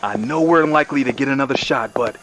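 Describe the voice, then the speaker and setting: A man in an audio drama playing a brisk, concerned mission support operative. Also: mid-range voice, background noise, lightly acted. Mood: urgent, concerned.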